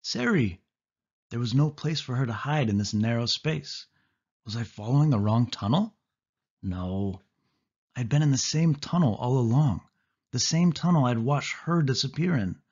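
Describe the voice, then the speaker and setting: A man narrating a suspense story in the first person, with expressive, dramatic delivery. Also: medium pitch, normal speed, breathy edge, muffled recording. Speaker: audiobook narrator.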